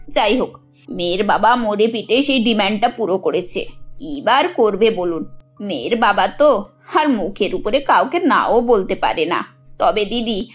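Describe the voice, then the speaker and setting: A woman voicing a middle-aged cartoon mother-in-law who is greedy, insinuating and mock-modest. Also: mid-range voice. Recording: dull lo-fi audio with music.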